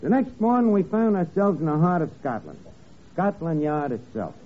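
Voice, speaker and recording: Mock-serious, high-voiced radio detective narrator. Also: male voice, very animated, normal speed, soft background music. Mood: dramatic narrating. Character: earnest male detective sidekick narrating a case. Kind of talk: narration in 1950s radio comedy spoof. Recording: crackly old radio broadcast, narrow band, studio audience.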